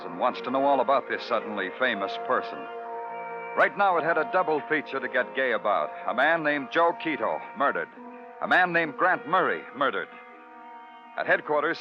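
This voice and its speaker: An actor playing a world-weary, hard-boiled police detective, terse and sardonic, narrating a 1940s radio noir drama. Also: male voice, medium pitch, lightly acted. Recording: dull vintage radio recording. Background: dramatic music.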